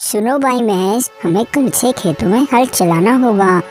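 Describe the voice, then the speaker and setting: A woman's voice: a little high, measured pace, darkish tone, soft background music, close to an everyday voice. A woman voicing a trusting, hardworking male farmer, earnest and obliging, in a cartoon moral story. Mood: earnest, practical.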